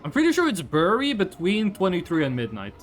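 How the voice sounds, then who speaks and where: A man's voice: high-pitched, wildly swooping pitch, a little fast. A man from Norway, a native Norwegian speaker, chats casually on a game livestream in a rambling, curious way and swears freely. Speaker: game streamer. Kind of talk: casual livestream chat over gameplay.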